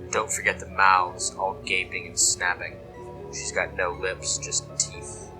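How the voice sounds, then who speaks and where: A man playing an obsessed, reverent dreamer logging eldritch nightmares in a brooding, hushed horror monologue that slips into whispers. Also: low voice, rough recording. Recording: music behind the voice.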